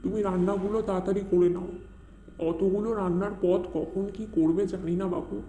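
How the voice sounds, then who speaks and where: A man playing an older, fussy, homely village grandfather in a cartoon, talking in an animated way. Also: high voice, brisk, roomy sound, slight background noise, natural voice. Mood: fussing, reassuring. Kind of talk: Bengali cartoon fairy-tale acting.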